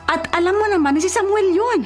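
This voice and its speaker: A woman's voice: very high-pitched, fairly quick. An actress with a Filipino accent playing a stern, controlling, well-off mother in a radio drama, scolding and insistent. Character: stern, controlling wealthy mother of a grown daughter. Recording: music bed behind the voice.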